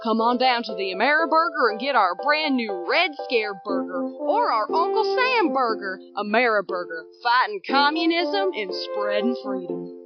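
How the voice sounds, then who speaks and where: Small-town radio pitchwoman: cheery, high-leaning, old-time advert style, dull audio over music. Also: sing-song, normal speed. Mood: perky, selling.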